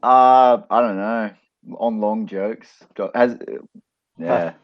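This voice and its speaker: Male podcast guest, lower pitch, halting casual delivery, dull dry recording. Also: no echo. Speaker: band member, podcast guest. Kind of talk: casual joke-telling and chat.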